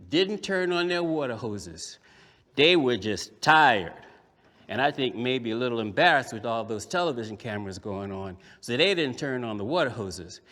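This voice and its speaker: A man performing a story for children as a puppeteer, in a dramatic, emphatic manner with repeated phrases and drawn-out words. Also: high-pitched, fairly bright tone. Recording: echoey hall with long reverb.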